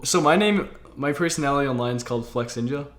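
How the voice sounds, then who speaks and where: A man's voice: mid-range voice, quick pace, a bit bright. A man hosting a casual livestream chat, talking in a slangy, fannish way. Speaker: game streamer, host. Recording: roomy, echoing sound.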